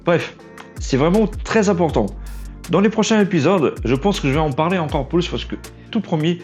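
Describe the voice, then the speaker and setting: A man's voice: on the high side, animated, darkish tone, soft background music. A young man with a Portuguese accent, French not his first language, talking off the cuff in a casual vlog. He stumbles and restarts often, and his voice is getting worn. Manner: halting, stumbles and restarts often, self-deprecating. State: losing his voice. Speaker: aspiring trailer editor, vlog host. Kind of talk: unscripted casual vlog monologue.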